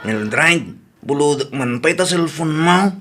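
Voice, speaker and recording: A man's voice: fairly high pitch, animated, measured pace, heavy background noise. A man with an Ilocano Filipino accent playing a casual, insistent young family man in a radio drama, conversational and emphatic.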